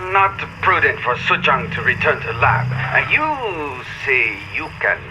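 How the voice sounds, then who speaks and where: Snappy arrogant game scientist, clipped broken english, swoopy pitch, loud static all over it. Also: male voice, very animated, unhurried, highs cut off. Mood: curt, impatient. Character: irascible, arrogant male scientist guarding his invention. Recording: very noisy radio-like transmission.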